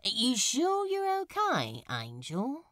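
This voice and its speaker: A woman's voice: a little high, wildly swooping pitch, takes it slowly, fairly bright tone, no room sound, studio quality, full character voice. Woman as a drawling, swooping sardonic demon man. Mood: casually concerned. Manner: drawling, flippant, teasing. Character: sardonic, breezy male demon, casually concerned.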